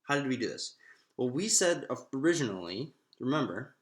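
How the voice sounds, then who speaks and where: Male maths lecturer, mid-pitched, informal and halting, thinking aloud step by step, roomy echo. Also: very animated. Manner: thinking aloud, halting, often restating himself. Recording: echoey room.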